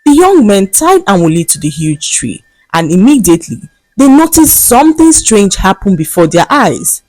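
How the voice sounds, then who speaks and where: A woman narrating a scripted folktale as a storyteller, in a dramatic, expressive manner. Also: mid-range voice, normal speed, dry recording, poor audio. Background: faint background music.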